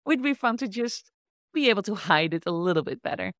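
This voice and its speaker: A woman's voice: medium pitch, expressive, brisk, a bit bright, no echo, studio quality. Dutch-accented woman podcast host. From Netherlands. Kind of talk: conversational podcast interview.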